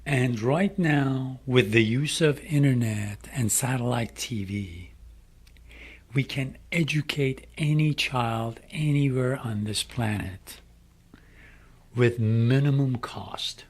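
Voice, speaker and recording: A middle-aged man from Iran with an Iranian accent, speaking English as a second language, making a slow, earnest inspirational appeal. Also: mid-range voice, breathy edge. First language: Farsi. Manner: slow, deliberate, emphatic phrasing. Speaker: speaker addressing young people.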